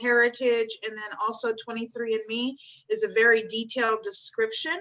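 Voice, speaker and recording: A woman in her fifties with a bright, clear voice, sitting a touch higher than most. She talks earnestly and keeps repeating words of gratitude in an easygoing spiritual chat. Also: fairly even intonation, a little fast, lo-fi sound. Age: 50s.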